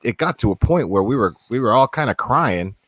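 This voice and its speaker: A man's voice: mid-range voice, animated, a little fast, fairly bright tone. A young man hosting a livestream, talking casually and rambling, repeating himself and swearing now and then. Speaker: livestream host.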